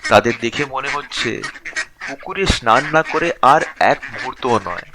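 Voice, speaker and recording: A man narrating a scripted story expressively, in short phrases with dramatic pauses. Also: medium pitch, background noise.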